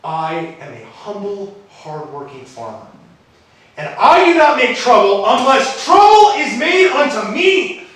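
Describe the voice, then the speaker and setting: Casting: male actor for rebellious colonial farmer, theatrical and very animated, heard from afar in an echoey hall. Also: high-pitched, exaggerated voice. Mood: proud, indignant. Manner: theatrical, exclamatory, drawn-out emphasis. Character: humble but feisty colonial American male farmer, rebellious. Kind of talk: live children's stage play acting. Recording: echoey hall, distant microphone.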